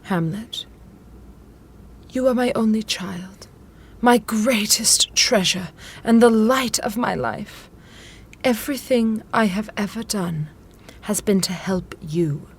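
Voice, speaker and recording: A woman's voice: medium pitch, slow, husky. A woman playing a middle-aged widowed queen and protective mother in an audio drama, with an imperious, reproachful manner. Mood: pained, tender. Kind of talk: modern audio drama acting.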